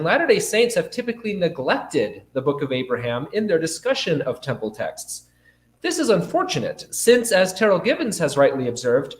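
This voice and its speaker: A young man with a cold, formally reading a scholarly paper aloud as a conference speaker. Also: on the high side. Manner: formal, measured delivery. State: fighting a cold and cough. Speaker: conference speaker, academic presenter. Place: indoor conference room. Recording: roomy, echoing lecture space.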